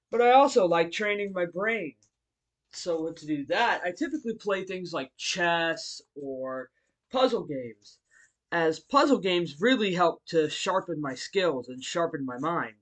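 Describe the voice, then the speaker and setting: A man acts out a grown martial-arts hero who teaches, bookish and friendly. His voice sits high for a man and swings animatedly up and down. He addresses the listener politely in an audio roleplay, pausing hesitantly between phrases. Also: a bit bright, low-quality recording. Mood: keen, friendly.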